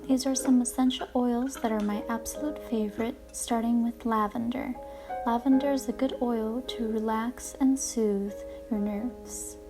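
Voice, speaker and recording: A woman hosting a guided relaxation session, speaking in a calm, gentle, deliberate way with soothing narration. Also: a little high. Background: music playing behind the voice.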